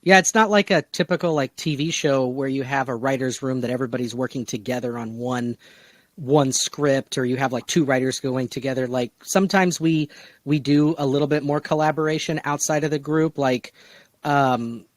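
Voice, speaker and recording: A man hosting a relaxed discussion podcast, talking conversationally as he guides the group. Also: mid-range voice, normal speed, dry recording. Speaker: podcast host and producer. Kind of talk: casual discussion podcast chat.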